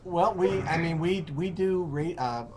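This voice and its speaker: A man with an American accent hosting a casual interview, explaining in a halting way and rephrasing as he goes. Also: sits high, darkish tone. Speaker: host of an English-learning call-in program. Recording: noticeable background noise.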